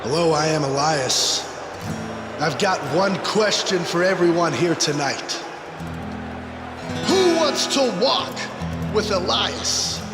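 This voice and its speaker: A smug musician villain in a wrestling game. He boasts in a high voice, very slowly, stretching out his taunts. Loud guitar music plays in an echoing arena. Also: big pitch swings, heavily acted. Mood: smug, taunting. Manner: slow, drawn-out, third-person boasting. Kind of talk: wrestling promo acting.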